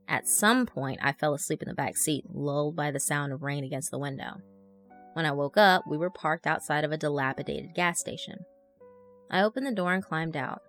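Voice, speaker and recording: Woman reading as a low-voiced, chatty young blogger. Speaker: narrator. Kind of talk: scripted horror story narration. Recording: music under the voice. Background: background music.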